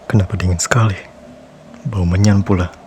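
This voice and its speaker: A man's voice: low-pitched, a little hiss or hum. A man playing a skeptical, reluctant teenage schoolboy in a visual novel, with a hesitant, questioning delivery. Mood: uneasy, startled.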